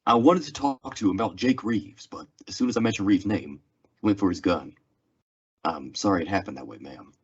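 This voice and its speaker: A man playing a stoic, plainspoken Old West lawman in a radio western, terse, restrained and polite, with a husky voice. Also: low voice, brisk. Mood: somber, firm. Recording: dull lo-fi radio drama recording.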